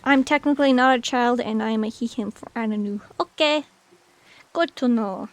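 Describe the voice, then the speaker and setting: A woman's voice: on the high side, moderate pace. A woman in her late twenties chatting casually with viewers on a game stream, rambling with many fillers. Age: 20s. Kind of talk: casual chat with chat while gaming.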